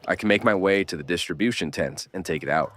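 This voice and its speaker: A man playing a gruff, world-weary survivor who takes charge in an audio horror drama. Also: deep voice, fast talker, a bit bright. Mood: determined.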